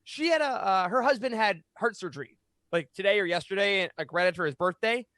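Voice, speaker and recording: A man's voice: high voice, lively intonation, fairly quick, a bit bright, no echo. A man in his thirties hosting a podcast in an upbeat, chatty way, close on a microphone in a small home studio. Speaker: podcast host. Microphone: boom-arm microphone up close.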